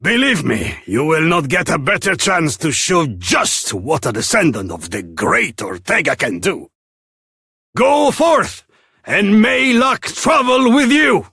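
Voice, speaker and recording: Pompous, swooping, high-voiced fantasy king. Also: very animated, slow pace, gravelly, pushed and bright, husky, dry recording, studio quality, full character voice. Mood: courtly, earnest. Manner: grandiose, theatrical, swooping delivery. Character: pompous, exuberant, theatrical male king. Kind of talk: fantasy video game voice acting.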